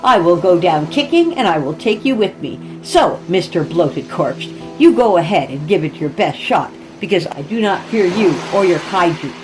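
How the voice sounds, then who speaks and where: A woman's voice: lowish voice, big pitch swings, normal speed, few pauses, over music, poor audio. A woman voicing an elderly retired schoolteacher, prim, snappish and defiant, in a horror story reading. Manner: stern, snappish, indignant. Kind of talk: horror audiobook character.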